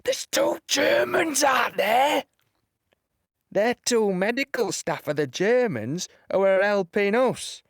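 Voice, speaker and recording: A man's voice: high voice, dry recording, studio quality, heavily acted. An actor with a Yorkshire accent playing a plucky, wounded young British soldier telling his story in a colloquial, wry way. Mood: animated, indignant. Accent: Yorkshire English. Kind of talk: first-person audio play monologue.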